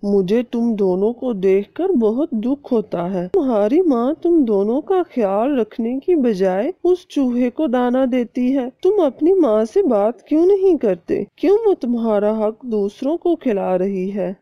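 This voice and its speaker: A woman's voice: fairly high pitch, expressive, unhurried, hardly any pauses, no room sound, lo-fi sound. A woman voicing a scheming, resentful male crow in a cartoon story, insistent and persuading. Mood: manipulative.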